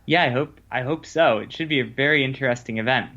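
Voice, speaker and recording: A man's voice: mid-range voice, quick pace, pushed and bright. Man explaining, slightly dull band-limited audio. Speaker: guest researcher and ham radio operator. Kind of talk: explanatory radio interview, conversational.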